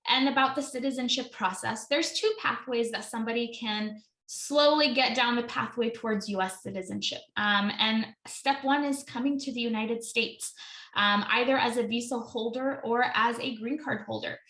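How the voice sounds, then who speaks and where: Bright, chatty woman attorney hosting a webinar. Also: fairly high pitch, moderate pace, reverberant. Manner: chatty, many ums, self-correcting. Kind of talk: webinar presentation.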